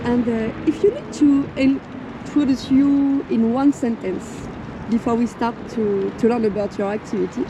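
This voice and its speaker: A woman hosting an interview as a non-native English speaker, with halting, non-idiomatic phrasing. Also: fairly high pitch, fairly even intonation, background noise. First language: not English. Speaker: host interviewer. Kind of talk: interview with prepared introduction. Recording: music behind the voice.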